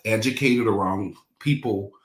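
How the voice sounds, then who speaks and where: A man's voice: on the low side, measured pace, echoey room. A man from the Bahamas, a guest speaker in a casual conversation, talking in an upbeat way.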